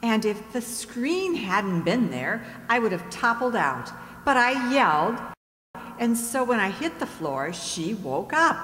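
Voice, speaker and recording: A woman playing a coy married lady telling her story deliberately as an old-time radio quiz contestant. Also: fairly high pitch, sing-song, a bit bright, heavily acted. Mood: recounting. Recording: echoey hall, faint hum.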